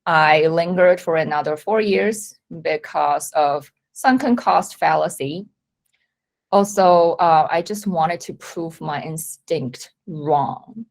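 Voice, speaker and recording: Slow-spoken woman from Taiwan. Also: fairly low pitch. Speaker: company talk speaker. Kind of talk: prepared presentation talk.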